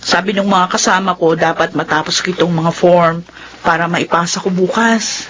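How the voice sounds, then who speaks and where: A woman's voice: on the low side, fairly even intonation, normal speed, a bit bright, lightly acted. Actress as earnest middle-aged mother, faint noise behind. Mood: earnest, weary. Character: weary, hopeful working mother devoted to her twins. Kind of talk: naturalistic radio drama acting.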